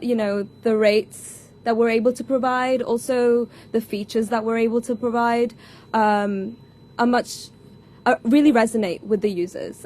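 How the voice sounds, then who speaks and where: A woman's voice: fairly high pitch, darkish tone. Woman explaining in an interview, background noise. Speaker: company growth associate, interview guest. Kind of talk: conversational interview answers.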